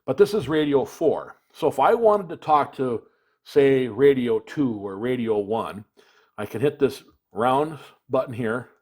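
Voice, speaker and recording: Guy doing a casual product demo, medium pitch, plain spoken, walks you through it. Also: animated, a little slow, darkish tone. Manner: explanatory, plain-spoken, step by step. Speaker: product reviewer, video presenter. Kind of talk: casual hands-on product demonstration.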